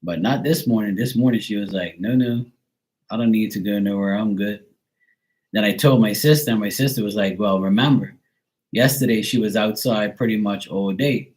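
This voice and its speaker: A man's voice: fairly low pitch, moderate pace, a little mellow, rough recording. A man from Bermuda chatting casually on a live stream, telling rambling everyday stories with lots of fillers. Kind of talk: casual chat.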